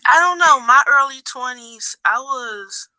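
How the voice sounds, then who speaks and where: A woman's voice: sits high, expressive, measured pace, pushed and bright, no room sound, rough recording. A woman in her twenties hosting a casual, conversational chat. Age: 20s. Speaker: host.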